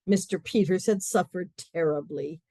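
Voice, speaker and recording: A woman narrating a radio play in a wry, expressive storytelling manner, dropping to hushed asides. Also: lowish voice, normal speed, no echo, studio quality.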